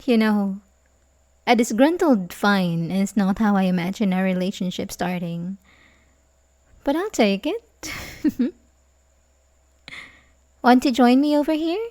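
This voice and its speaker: A woman playing an obsessive, manipulative young babysitter in love in an ASMR roleplay, halting and pleading with soft menace. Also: mid-range voice, lively intonation. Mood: smugly content. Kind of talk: ASMR roleplay audio drama, addressing the listener.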